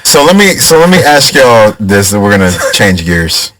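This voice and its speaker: A man hosting a casual podcast, easygoing and quick to laugh, asking long rambling questions. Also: fairly low pitch, big pitch swings, a bit bright, dry recording, far from the mic, poor audio. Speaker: podcast host.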